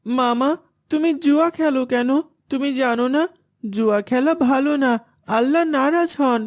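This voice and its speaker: A woman's voice: high-pitched, normal speed, lightly acted. A woman voicing a meek, earnest young boy in a children's cartoon, with a plaintive, innocent manner. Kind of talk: Islamic children's cartoon dubbing.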